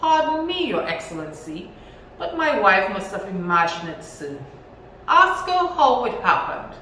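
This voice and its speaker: A woman's voice: mid-range voice, very animated, unhurried, pushed and bright. A woman with a Trinidadian accent voicing a crafty, cheerful old village husband in a storybook, theatrically and slyly. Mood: feigned innocence. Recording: echoey room, distant mic, faint noise.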